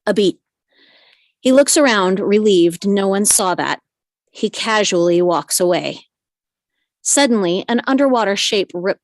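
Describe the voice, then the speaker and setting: A woman's voice: mid-range voice, no echo, clean studio sound. A woman reading screenplay action lines as scripted narration in a live table read. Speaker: reader of screenplay stage directions.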